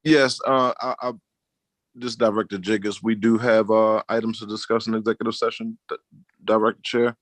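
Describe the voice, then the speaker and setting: A man speaking as a board director on a virtual meeting, making short, formal and somewhat halting remarks. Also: lowish voice, flat delivery, no echo. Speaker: board director and secretary-treasurer.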